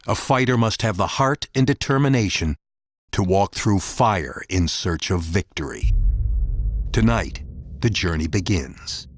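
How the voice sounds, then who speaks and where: A man reading dramatic scripted sports promo narration in a solemn, weighty, deliberate manner. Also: lowish voice, no echo, studio quality.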